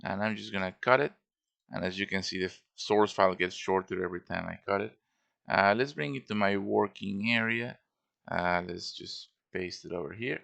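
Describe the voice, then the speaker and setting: A man's voice: sits low, moderate pace, gravelly, a bit bright. A man giving a casual show-and-tell tutorial as a game modder, informal and thinking aloud as he works.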